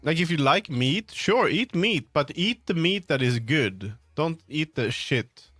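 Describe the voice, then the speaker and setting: A man's voice: fairly high pitch, lively intonation, measured pace, a bit bright, dry recording. A man chatting casually on a livestream while he works, rambling, thinking aloud and often trailing off mid-sentence. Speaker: livestreamer, game developer. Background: background music.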